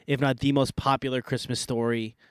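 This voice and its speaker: A man's voice: medium pitch, flat delivery, a little fast, clean tone, no room sound, clean studio sound. A man co-hosting a live podcast, sounding nasal from a cold, talking casually with lots of fillers and restarts. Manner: rambling, many fillers and restarts. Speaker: podcast co-host. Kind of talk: casual debate chat.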